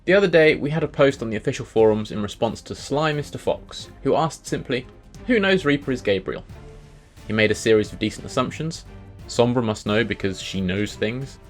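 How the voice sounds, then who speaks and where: A man's voice: mid-range voice, lively intonation, fairly quick, soft background music. A man hosting a video, reading scripted commentary on video game lore. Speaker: video host.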